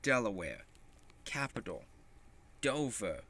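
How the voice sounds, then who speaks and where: Man, storybook read-aloud, slow halting delivery, very animated intonation, deliberate. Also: on the low side. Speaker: storybook reader. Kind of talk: children's picture book read-aloud.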